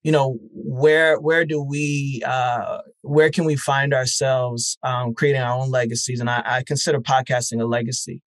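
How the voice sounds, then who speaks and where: A man with a medium-pitched voice talks as a podcast guest in an earnest, reflective way. He searches for his words and fills the gaps with "um." The sound is dry and close to the mic. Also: level tone, moderate pace, hardly any pauses, dry recording. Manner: thoughtful, earnest, many "um"s and restarts. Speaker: podcast festival founder, guest. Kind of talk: casual interview talk.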